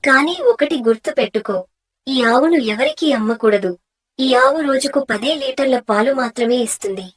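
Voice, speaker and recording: A woman voicing a fearsome but soft-hearted female ghost in a cartoon moral tale, speaking in emphatic, commanding pronouncements. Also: high voice, animated, moderate pace. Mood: stern warning. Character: fearsome female ghost, soft-hearted granter of boons, stern when betrayed.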